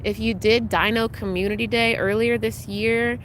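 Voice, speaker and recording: A woman's voice: on the high side, fairly even intonation, moderate pace, fairly bright tone, a little hiss or hum, poor audio. A young adult woman streaming live, chatting casually and greeting viewers by name, her voice slightly congested, with frequent ums. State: congested, recovering from illness.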